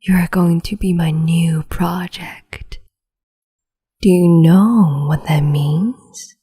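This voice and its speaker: A woman playing a cruel, capricious empress in an ASMR roleplay, whispering in a slow, teasing, domineering way. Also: fairly low pitch, steady tone, dark tone, exaggerated voice. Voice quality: breathy whisper.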